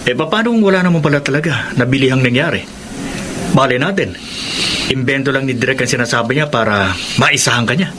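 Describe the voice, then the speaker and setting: A man's voice: mid-range voice, brisk, background noise, natural voice. A man in a radio drama playing a concerned friend who questions and urges. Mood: skeptical.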